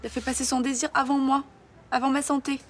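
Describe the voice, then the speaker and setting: An actress playing a hurt young woman in a soap opera, sharp and accusing. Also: high voice, little pitch movement, fast talker, slight background noise, lightly acted. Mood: bitter. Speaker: Samia.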